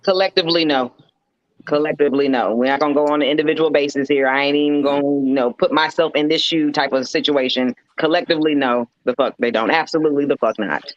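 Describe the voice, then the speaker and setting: An American woman with a deep voice, talking bluntly and swearing freely in a casual panel discussion. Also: fast talker, dry recording. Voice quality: deep, bassy. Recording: slightly dull, lo-fi, dry sound.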